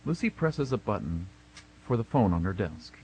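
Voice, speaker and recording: A man's voice: fairly low pitch, big pitch swings, moderate pace, mellow, slightly rough, no room sound. A man reading stage directions as scripted narration for a radio play. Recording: noisy amateur recording with hum.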